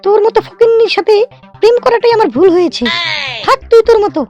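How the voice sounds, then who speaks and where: A woman doing a very high, fast cartoon girl. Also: darkish tone, highs cut off, faint music behind. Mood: scornful. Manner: emphatic, argumentative. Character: prim, outspoken young woman, traditional and firm. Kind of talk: amateur cartoon comedy dialogue.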